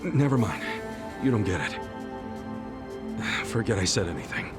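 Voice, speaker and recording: A middle-aged gangster hero in a game cutscene, earnest and hot-headed. His voice is on the low side and his talk is blunt and informal, over loud music. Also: big pitch swings, normal speed. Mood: insistent. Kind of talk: video game cutscene voice acting.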